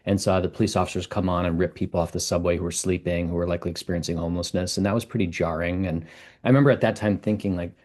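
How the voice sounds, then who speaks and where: Fast-talking deep-voiced male professor. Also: steady tone, a little mellow. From Minnesota, US Midwest. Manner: fluent, explanatory storytelling. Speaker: professor, interview guest. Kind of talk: conversational interview.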